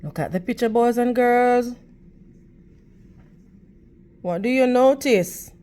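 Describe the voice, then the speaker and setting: Slow, high-pitched woman reading to children. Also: warm tone. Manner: teacherly, addressing young children. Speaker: read-aloud storytime host for children. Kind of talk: children's picture book read-aloud with questions to listeners.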